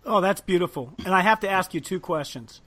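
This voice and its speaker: A man's voice: sits high, expressive, brisk, fairly bright tone. A man hosting a casual interview, speaking in an encouraging, affirming manner. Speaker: host.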